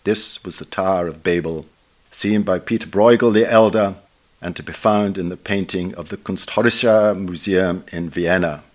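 Low-pitched elderly upper-class English man reading. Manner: formal, emphatic, erudite. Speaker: book reviewer. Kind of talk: scripted book review read aloud.

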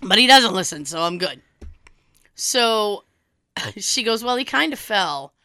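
Young woman, cold-hoarse, bright crisp voice, dry close sound, animated storyteller. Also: medium pitch, takes it slowly, dry recording. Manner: animated, swearing, acts out quoted dialogue. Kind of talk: casual storytelling chat.

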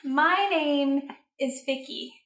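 A woman's voice: high voice, a bit bright, distant mic. A woman playing an exasperated, melodramatic sister in an improvised comic murder mystery radio play. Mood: coy, insistent. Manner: dramatic, halting, pleading and exasperated. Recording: roomy echo, off the mic.

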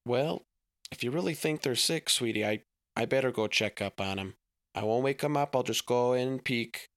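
A man's voice: mid-range voice, moderate pace, fairly bright tone, no echo, clean studio sound, pitched above the performer's own voice, natural voice. A man voicing a folksy, overly sweet small-framed father who talks in homespun phrases. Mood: cheery folksy.

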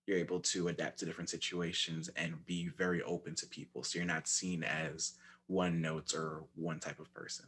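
A young man who is a former resident assistant speaks as a panelist in a casual discussion, explaining his points in a measured, articulate way. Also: low voice, flat delivery, a bit bright, husky.

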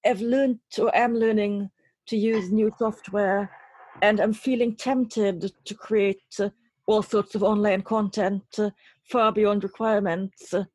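A woman from Trinidad, answers interview questions in a reflective, literary way. Her voice sits at a middle pitch with some huskiness, and she chooses phrases deliberately. The sound is dry, with hardly any room to it. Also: fairly even intonation, darkish tone, breathy edge, no room sound. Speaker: interview guest, poet and writer.

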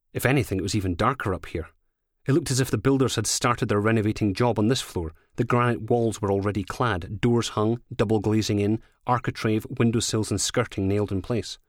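A Scottish man narrates a crime novel in a low voice. The recording is dry and close, with no room sound around the scripted reading. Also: no echo. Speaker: author reading own audiobook.

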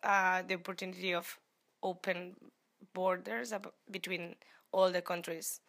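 A woman answering an interviewer, halting and searching for words. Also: lowish voice, flat delivery, creaky, a bit bright. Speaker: passer-by interviewee.

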